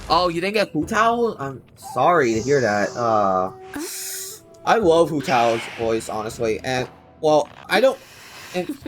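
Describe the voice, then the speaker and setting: A man chatting casually to viewers on a game livestream, rambling and halting as he doubles back on his sentences. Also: medium pitch, very animated. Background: game music.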